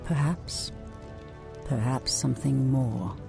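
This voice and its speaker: Casting: resolute game elf high priestess, solemn and stately, husky fry, music behind. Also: female voice, takes it slowly, exaggerated voice. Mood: foreboding. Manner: regal, grave, resolute. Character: proud, solemn night elf warrior priestess. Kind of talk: video game fantasy acting.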